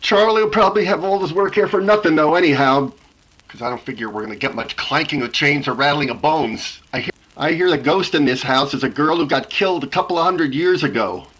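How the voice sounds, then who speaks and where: A man's voice: a little high, lively intonation, fairly bright tone, poor audio. Man playing a folksy, grumbling radio engineer. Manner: slangy, halting, casual. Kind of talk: 1930s radio acting.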